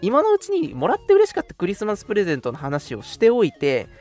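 A man's voice: high voice, wildly swooping pitch, fast talker, a little mellow. A young man co-hosting a live radio talk show, mixing casual, jokey banter with polite announcements. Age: young adult. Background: background music.

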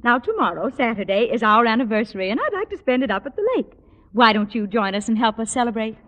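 Genteel middle-aged matron: coaxing, highish voice, lively intonation, vintage radio comedy. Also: female voice, quick pace. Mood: gracious, coaxing. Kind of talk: 1940s-50s radio sitcom acting. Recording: echoey, dull old radio broadcast.

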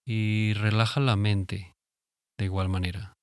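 Low-pitched man guiding meditation. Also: no echo, clean studio sound. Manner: calm, soothing, instructing with long pauses. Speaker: meditation guide, host. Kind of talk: guided relaxation meditation. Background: music.

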